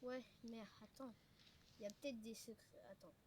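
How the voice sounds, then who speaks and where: A woman's voice: fairly high pitch, normal speed, darkish tone, natural voice. A woman playing a reluctant, blunt young survivor in an improvised amateur zombie drama, often repeating words, on a noisy homemade recording. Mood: uncertain, searching. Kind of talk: improvised amateur role-play acting.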